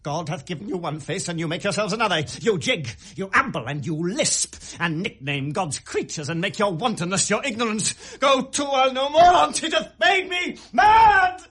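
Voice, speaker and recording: An actor with a British accent playing a bitter, volatile young prince in classical Shakespearean radio drama, in theatrical, deliberate verse. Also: male voice, sits high, lively intonation, pushed and bright, slightly rough, exaggerated voice. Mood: scornful, vehement, then raging. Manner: theatrical, deliberate verse delivery swinging to outbursts.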